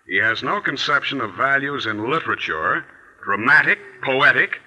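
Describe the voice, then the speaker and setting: A man playing a dry, disapproving newspaper editor in 1940s radio drama, speaking in a measured, pointed way. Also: mid-range voice, animated, bright, forceful tone, rough recording. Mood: reading pointedly. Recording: 1940s radio broadcast, harsh sound.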